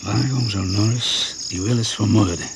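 Low-voiced, wry older investigator, noisy recording. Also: male voice, moderate pace, vocal fry. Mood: wry, reasoning. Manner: wry, reasoning step by step. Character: older male investigator in the jungle, shrewd, wry, fatherly. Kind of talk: Dutch radio drama acting. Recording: noisy old radio play recording.

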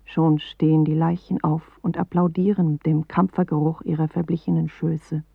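A performer reciting as a grave, solemn narrator in a literary radio play, with a breathy, husky voice and measured delivery. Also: medium pitch, little pitch movement, dry recording. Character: grave poetic narrator reciting bleak apocalyptic images. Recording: narrow phone-like 1980s radio recording.

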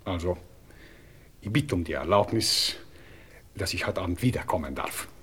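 An aristocratic Viennese cavalry officer for radio drama. His voice is rough-edged and swoops up and down as he rambles. He is courteous, hesitant and world-weary, forever philosophizing in an affected way. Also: sits low, lively intonation, normal speed, fairly bright tone, full character voice. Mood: polite, hesitant. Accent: Viennese Austrian. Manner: hesitant, polite, rambling, blasé. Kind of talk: radio play acting.

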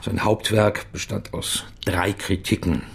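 An actor playing a weary, brooding journalist in his late forties with a gravelly voice, in a naturalistic radio drama. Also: low-pitched, fairly even intonation, a little slow, exaggerated voice. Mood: dry, explanatory. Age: late 40s. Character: weary brooding male journalist nearing fifty, jealous and reluctant.

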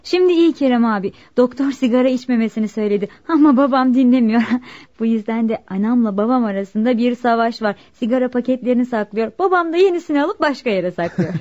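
High-voiced shy young woman played by an actress. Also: lively intonation, fast talker, a little mellow, natural voice. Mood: friendly, respectful. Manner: hesitant, polite. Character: shy, polite young neighbour woman, respectful of elders. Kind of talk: radio drama acting. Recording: dull lo-fi radio recording.

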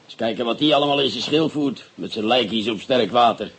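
Husky, blustering police chief. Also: male voice, medium pitch, fairly quick. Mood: stubborn, suspicious. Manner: emphatic, blustery, repeats words. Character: blustering superstitious senior police chief, stubbornly suspicious. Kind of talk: Dutch radio play acting.

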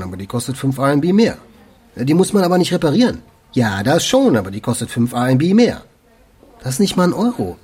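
High-voiced, bemused expat man. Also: darkish tone. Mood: exasperated. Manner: chatty storytelling, acting out both sides of dialogues. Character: bemused, incredulous German expat grumbling about local habits. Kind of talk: documentary audio drama, casual anecdote.

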